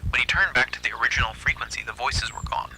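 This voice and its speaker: A man's voice: mid-range voice, fast talker, sharp tone, a little hiss or hum, rough recording. A man narrating a scripted first-person horror story.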